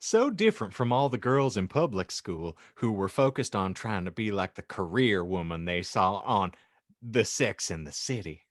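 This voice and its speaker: A man's voice: lowish voice, very animated, normal speed, no room sound, clean studio sound. A man narrating a comic audiobook with mock-earnest, theatrical delivery. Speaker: podcast host and narrator.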